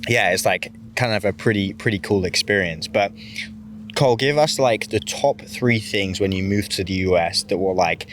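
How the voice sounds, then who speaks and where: Young man, low-ish voice, laid-back podcast host, faint background noise. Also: moderate pace, a bit bright. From United Kingdom. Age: young adult. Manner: easygoing, rambling, laughs often. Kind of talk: casual chat.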